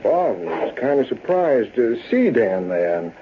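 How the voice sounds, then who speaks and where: A man's voice: mid-range voice, big pitch swings, slow pace. An actor playing a folksy Western storyteller, an American man narrating an old-time radio drama. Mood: storytelling. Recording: tinny, hissy old-time radio recording.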